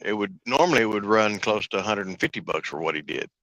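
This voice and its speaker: A man's voice: on the low side, expressive, fast talker, a bit bright, no room sound, low-quality recording. A man, a truck driver, chatting on a live show and telling a story about getting his radio repaired, giving emphatic advice to viewers. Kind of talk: casual chat, personal anecdote.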